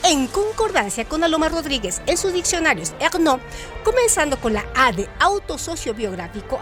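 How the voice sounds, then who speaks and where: High-pitched woman narrating literature over music. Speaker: narrator, writer and director of an audio story show. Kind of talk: scripted literary narration and reading. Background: background music.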